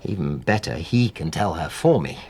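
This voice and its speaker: A man's voice: deep voice, expressive, normal speed, darkish tone, breathy edge, heavily acted. A man acting in a British radio drama as a polite, anxious middle-class married publisher, with a British accent and a hesitant, earnest manner. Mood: bitter, resolved.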